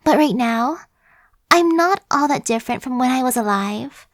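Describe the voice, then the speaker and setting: A woman's voice: high-pitched, lively intonation, normal speed, dry recording, studio quality. A woman voicing a chatty, friendly female zombie who coaxes and persuades in a casual, sly way, in an ASMR roleplay. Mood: persuasive.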